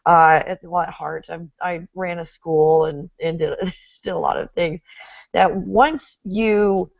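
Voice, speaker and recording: A woman's voice: on the low side, fairly even intonation, slow pace, vocal fry, no room sound, lo-fi sound. A middle-aged woman from Texas with a Texan accent, hosting a casual interview, chatty and often laughing. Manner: chatty, laughs often, reads viewer comments aloud. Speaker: host.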